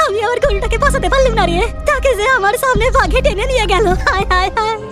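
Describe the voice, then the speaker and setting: A woman's voice: very high-pitched, a little fast, over loud music, pitched above the performer's own voice. A woman voicing a distraught cartoon village man, wailing out a breathless lament. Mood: anguished.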